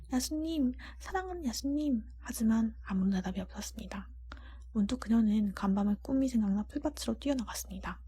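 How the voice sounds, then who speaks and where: A woman narrates a storybook in ASMR style. Her voice is an extremely breathy whisper with a mellow, soft tone, at a medium pitch for a woman. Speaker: storyteller reading aloud. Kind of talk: ASMR book reading, scripted narration.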